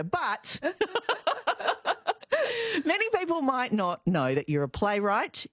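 Low-voiced, lively Australian woman radio host. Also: dry recording, clean studio sound. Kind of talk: conversational radio interview. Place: radio studio.

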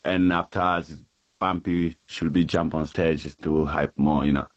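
A man's voice: very deep voice, fairly even intonation, moderate pace, lo-fi sound. A man from Tanzania, a musician interviewed on radio, talking in a hesitant, friendly way. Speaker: musician, guest.

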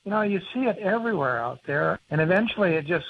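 A man's voice: sits high, expressive, fast talker, gravelly, a little hiss or hum. A man with an American accent explaining things conversationally as a radio interview guest, over a phone line. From United States. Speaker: author and conservation biologist, interview guest. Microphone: telephone.

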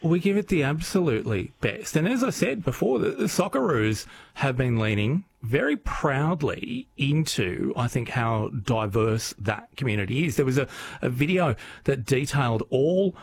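A man's voice: medium pitch, sing-song, moderate pace, gravelly, hardly any pauses, a little hiss or hum. A man hosting a conversational broadcast interview. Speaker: radio host, interviewer.

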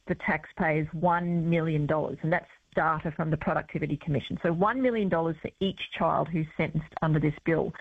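A woman's voice: fairly low pitch, breathy edge, dry recording. A woman guest, an advocacy organisation head, explaining earnestly with some hesitations in a phone interview. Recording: tinny phone line.

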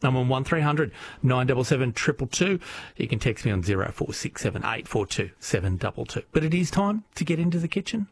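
A man's voice: medium pitch, lively intonation, brisk, a little hiss or hum. An Australian man hosting a casual radio chat, upbeat and chatty, laughing easily. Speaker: radio host.

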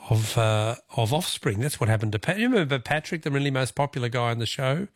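A man's voice: medium pitch, animated, no echo, clean studio sound. A man with an Australian accent hosting a live phone-in radio quiz in a chatty, jokey manner. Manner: chatty, jokey, quick to chuckle.

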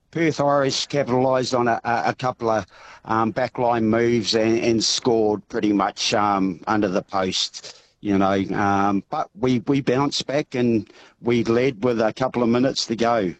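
A man whose voice is hoarse and croaky, talking over a phone line with some faint noise behind him. He rambles along, padding his talk with "um" and "you know". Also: medium pitch, steady tone, measured pace. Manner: rambling, many fillers. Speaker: rugby club founder, interviewee.

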